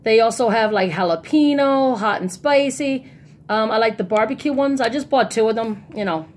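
A woman's voice: a little high, moderate pace, darkish tone, slight background noise. A woman chatting casually and informally in a rambling style, as a vlogger showing off her shopping. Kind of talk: casual haul vlog chat.

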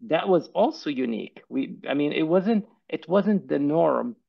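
A man whose first language is Arabic talks earnestly about his work as a pharmacist, often stumbling and repeating words as he goes. His voice carries a gravelly edge, and the sound is dull and lo-fi, coming through a headset on a video call. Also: fairly high pitch, lively intonation, measured pace. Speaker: pharmacist and pharmacy owner, guest. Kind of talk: conversational interview. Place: office.

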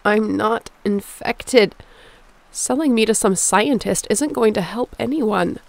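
Woman voicing young female survivor, sick, gravelly fry, earnest, pleading, protective, audio drama. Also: mid-range voice, slow pace, dry recording. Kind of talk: audio roleplay acting.

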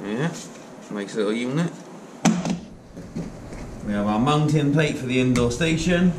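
A man's voice: medium pitch, unhurried. Man demonstrating, noisy room recording. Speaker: product demonstrator. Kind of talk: informal how-to product demonstration. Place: indoor showroom at a demonstration table.

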